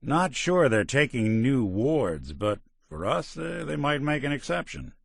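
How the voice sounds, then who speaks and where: An actor voicing a weary synth detective in a video game, a gruff middle-aged man with a dry, wisecracking manner. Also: mid-range voice, big pitch swings, measured pace, dry recording, exaggerated voice. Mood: wry. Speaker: companion character. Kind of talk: game character barks.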